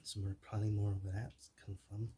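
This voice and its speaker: Man playing a shy, low-voiced, soft-spoken zombie. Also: flat delivery, heavy background noise, lightly acted. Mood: coaxing. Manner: hesitant, halting, apologetic, drifting into whispers. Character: shy, awkward, soft-hearted young male zombie. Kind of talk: ASMR roleplay, softly spoken to the listener.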